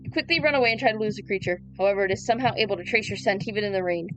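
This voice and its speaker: Woman, livestream host, mid pitch, visual novel read-aloud, playful asides, light music behind. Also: very fast, fairly bright tone, low-quality recording. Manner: playful, reacts aloud to the story. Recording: background music under the voice.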